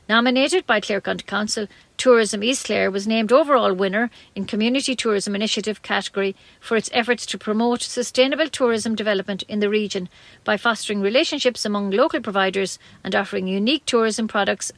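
An Irish woman reads a local radio news bulletin from a script. Her voice sits at an average pitch for a woman, and she keeps the delivery plain. The sound is dry, with very little room to it. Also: no room sound. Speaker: community radio newsreader.

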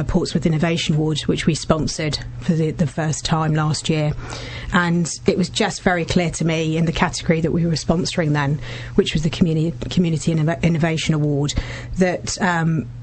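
A woman in her forties with a husky voice, a business founder talking warmly and earnestly as a guest in a radio studio interview. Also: low-pitched, slight background noise. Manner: warm, effusive, speaks in long earnest runs with restarts.

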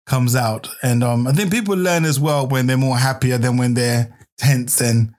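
A man's voice: medium pitch, normal speed, a little mellow. A man hosting a podcast interview, chatty and laughing often, restarting his sentences. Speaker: podcast host.

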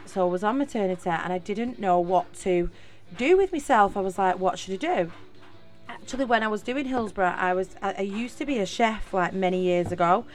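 A woman's voice: medium pitch, a little fast, faint music behind. Chatty Mancunian woman hosting a podcast. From Manchester, England. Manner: chatty and rambling, with many fillers and restarts. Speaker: podcast host. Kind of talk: casual solo podcast monologue.

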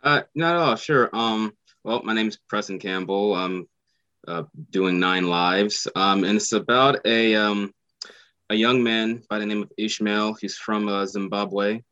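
A man's voice: lowish voice, unhurried, bright, forceful tone, dry recording, highs cut off. A man, an actor, answering interview questions casually and hesitantly, full of fillers. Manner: hesitant, many fillers like "you know". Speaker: actor guest.

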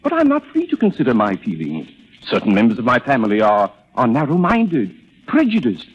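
An actor playing a suave, evasive philandering gentleman in a 1930s radio drama, smooth-talking, pompous and hesitant. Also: medium pitch, wildly swooping pitch, a little fast. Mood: placating, self-satisfied. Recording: muffled old 1930s radio recording.

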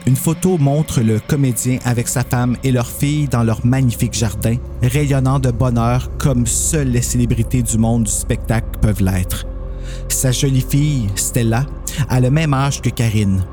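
A man's voice: medium pitch, moderate pace, over music. A man narrating a scripted horror story as a podcast host.

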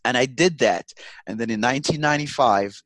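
A man's voice: medium pitch, fairly quick, a bit bright, dry recording. Jamaican guy in his 40s, rambly, lots of ums, dry close sound. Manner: rambling, frequent ums and restarts. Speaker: interview guest, husband. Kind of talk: casual storytelling.

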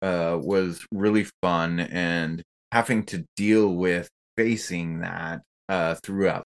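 A man with a low voice talks casually as one of a podcast panel. He comments in an appreciative, conversational manner. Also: fairly even intonation, takes it slowly, smooth. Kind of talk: casual chat.

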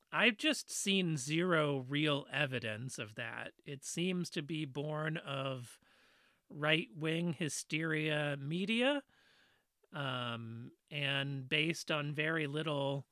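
A woman with a fairly deep voice, earnestly explaining a topic in a casual discussion. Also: sharp tone, no room sound, studio quality. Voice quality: fairly deep voice she trained herself to talk around. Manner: earnest, explanatory, with frequent "you know" fillers.

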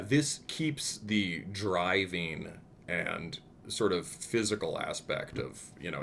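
A man with a very deep voice talks through music in a loose, analytical way. He often stops and restarts his thoughts and fills pauses with "um". A faint rumble runs under the recording. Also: fairly bright tone. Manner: thoughtful, restarts often, many ums, hums riffs. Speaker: podcast co-host discussing music. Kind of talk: casual analytical conversation.

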